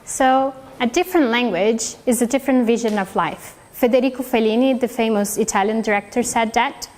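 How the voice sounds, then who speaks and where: A woman's voice: on the high side, brisk, darkish tone, slight background noise. A young woman from Brazil, a native Portuguese speaker with a Brazilian accent, giving an earnest, heartfelt prepared speech with small grammar slips. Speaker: PhD student giving a speech. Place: hall with a live audience. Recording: reverberant hall.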